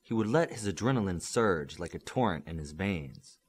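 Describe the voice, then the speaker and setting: Deep-voiced man reading with lively pitch. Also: moderate pace, breathy edge. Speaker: audiobook reader. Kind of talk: scripted narration of a superhero novel.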